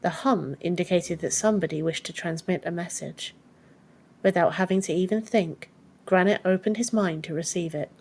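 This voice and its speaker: Woman, lower-pitched voice, audiobook narrator, scripted storytelling. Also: moderate pace, a little mellow, slightly rough.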